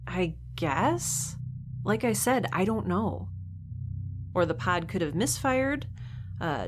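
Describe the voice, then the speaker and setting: A woman's voice: medium pitch, a little hiss or hum, lightly acted. An actress playing a weary, sardonic young woman held captive in space in an audio drama, with a wry, rambling manner. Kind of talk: audio drama monologue and dialogue.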